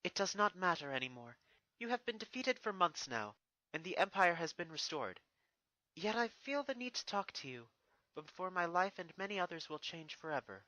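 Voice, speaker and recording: A stern, wounded pony princess played by a man, speaking formally and solemnly in a storybook reading. The voice is lifted in pitch and bright, with regal resolve, recorded dry. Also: very animated, moderate pace, no room sound, full character voice, low-quality recording. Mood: stern, accusing.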